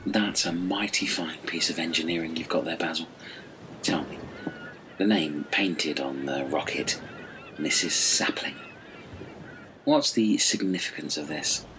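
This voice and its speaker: A man plays an inquisitive, coaxing castaway with a very low voice. He talks in a measured, mock-formal way for a comedy audio drama, over clear background noise. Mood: curious. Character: inquisitive, smooth-talking male castaway and negotiator.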